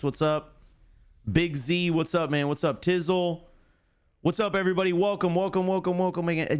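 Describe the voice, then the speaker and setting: A man's voice: a little high, clear, resonant tone. A man hosting a live sports stream, chatting casually in chummy slang, greeting viewers by name and repeating himself.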